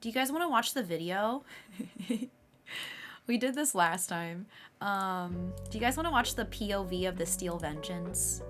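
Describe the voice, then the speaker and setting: A woman streaming live, chatting casually with her viewers and laughing often, her voice a little raspy. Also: mid-range voice, animated, unhurried, a bit bright, soft background music. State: slightly raspy voice.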